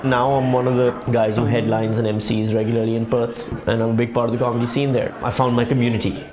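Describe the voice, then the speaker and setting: A man's voice: mid-range voice, flat delivery, fast talker, crowd noise. A man, a stand-up comedian telling his story in a conversational, wry way with dry punchlines. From Pakistan. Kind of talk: documentary interview and stand-up set.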